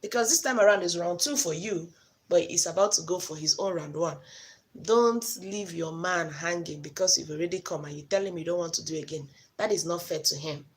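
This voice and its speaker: A woman's voice: fairly low pitch, fairly quick. A Nigerian woman whose first language is Igbo, hosting a live stream and giving frank, chatty advice to her viewers. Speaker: host giving relationship advice. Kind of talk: casual live-stream talk.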